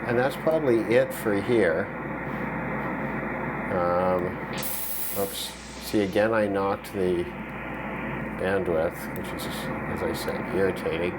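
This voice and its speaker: A man with a very low voice talks casually through a radio demonstration, thinking aloud in a rambling way. Heavy static-like noise runs behind him throughout. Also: slow pace, pauses often. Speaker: radio hobbyist demonstrating shortwave listening. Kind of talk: casual screen demonstration commentary. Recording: very noisy, radio static and hum. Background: shortwave radio static and broadcasts.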